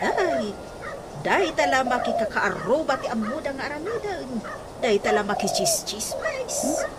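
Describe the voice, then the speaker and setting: An actress playing a gossipy, scoffing village housewife in an Ilocano radio comedy drama, with an Ilocano accent. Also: female voice, high-pitched, big pitch swings, unhurried. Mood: scornful. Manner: exclamatory, scoffing. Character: gossipy, mocking village housewife, bickering and loud. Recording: noisy track with outdoor ambience.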